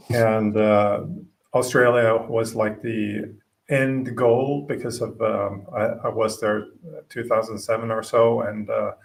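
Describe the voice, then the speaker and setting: A man from Finland whose first languages are Swedish and Finnish, a podcast guest chatting casually, halting with many fillers and restarts. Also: medium pitch, vocal fry, recorded from a distance. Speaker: podcast guest, gym owner. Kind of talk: casual interview chat.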